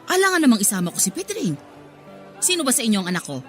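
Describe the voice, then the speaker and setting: A woman's voice: on the high side, very animated, fast talker. A hard-working market vendor and mother in a Tagalog radio drama. She pleads and scolds in an exasperated, motherly way, with faint music underneath. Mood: scolding.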